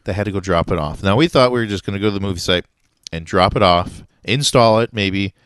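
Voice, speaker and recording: A man co-hosting a podcast, relaxed and often laughing, telling stories with many pauses and fillers. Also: low-pitched, clear, resonant tone, no echo, studio quality. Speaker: podcast co-host. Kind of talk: casual chat, storytelling.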